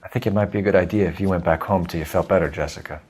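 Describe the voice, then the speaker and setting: A man's voice: low voice, rapid-fire, darkish tone, husky. A man playing an earnest, courteous 1940s police detective in a video game, with a polite, formal manner. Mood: kind, official.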